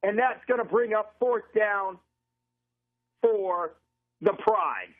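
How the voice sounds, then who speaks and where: An American man with a husky voice doing live football play-by-play as a radio announcer, over a narrow, tinny phone-line feed. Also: high-pitched, takes it slowly. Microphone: live radio broadcast feed from stadium.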